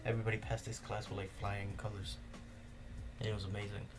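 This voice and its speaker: A man's voice: on the low side, little pitch movement, fast, music in the background. A young man, a native Spanish speaker with a Spanish accent, chatting casually and rambling with lots of fillers on a podcast. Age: young adult. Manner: rambling, many fillers and restarts. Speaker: podcast host. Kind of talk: casual chat.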